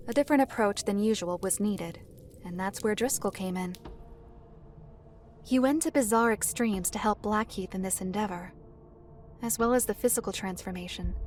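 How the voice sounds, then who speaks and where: An actress playing a formal, matter-of-fact storyteller who recounts a dark fantasy history in a measured way. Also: female voice, medium pitch, slight background noise, natural voice. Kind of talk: scripted fantasy narration. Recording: faint music behind the voice. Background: music.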